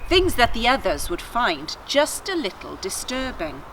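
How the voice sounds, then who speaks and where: A woman voicing a kindly master in a children's story, speaking in a measured, deliberate way. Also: fairly high pitch, sing-song, a bit bright, heavily acted, rough recording. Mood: patient, delicate. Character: kindly, measured male master of a servant boy. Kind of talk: children's storybook character reading. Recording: faint outdoor garden noise.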